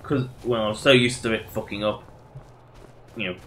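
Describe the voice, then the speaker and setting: A man's voice: lowish voice, fairly even intonation, moderate pace, a bit bright, slight background noise. A man doing casual live game commentary, rambling and self-deprecating as he chats to his viewers. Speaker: game livestreamer.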